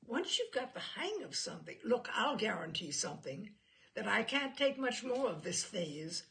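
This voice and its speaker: A woman's voice: fairly high pitch, big pitch swings, moderate pace, fairly bright tone. A woman voicing a blunt, exasperated wife who scolds her pontificating husband. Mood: exasperated scolding.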